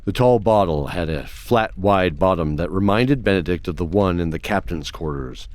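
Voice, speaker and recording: A man narrating a scripted fantasy story in a measured, descriptive storytelling manner. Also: low voice, animated, darkish tone. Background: faint music.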